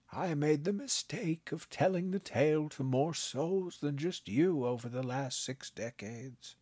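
A man narrating a story, voicing a calm, frail old grandfather who speaks slowly and gently. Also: fairly high pitch, husky, no echo, pitched above the performer's own voice, full character voice. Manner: slow, gentle, unbothered.

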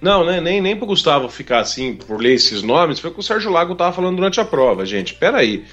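Animated Brazilian host on a dull line. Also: male voice, a little high, fast talker, faint music behind. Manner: animated, chatty, laughs often. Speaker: motorsport show host. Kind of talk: casual podcast chat.

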